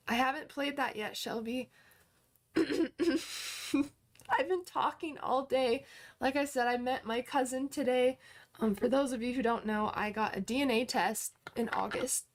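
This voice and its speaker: A woman's voice: high-pitched, fairly even intonation, slow. A woman in her twenties hosting a casual livestream, chatting upbeat with viewers, full of fillers and restarts. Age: 20s. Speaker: livestream host and online course teacher. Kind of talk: casual livestream chat, answering viewer comments.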